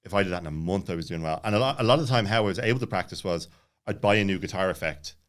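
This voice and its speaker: A man's voice: low-pitched, rapid-fire, a bit bright. A man in his late thirties telling personal stories casually as a podcast guest, rambling with many false starts. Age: late 30s.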